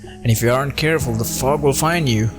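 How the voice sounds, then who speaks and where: A man's voice: mid-range voice, a little fast, music in the background. A man reading a scripted first-person horror story as narrator, with a brooding, dramatic delivery.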